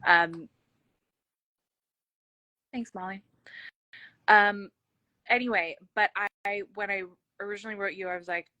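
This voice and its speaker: Bright-voiced woman host with a slight lisp. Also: mid-range voice, no echo. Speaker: interview host. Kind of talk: casual chatty interview.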